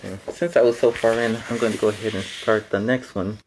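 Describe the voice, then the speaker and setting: A man's voice: fairly low pitch, fairly even intonation, fairly quick, background noise. A man in a superhero persona reading a children's storybook aloud, with chatty, playful asides between the passages.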